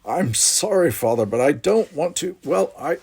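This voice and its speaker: A man's voice: fairly high pitch, animated, moderate pace, gravelly, darkish tone, slightly rough, voice pushed up, heavily acted. A man voicing an earnest, frustrated younger son in an audiobook, tired and pleading. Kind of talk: audiobook character voice.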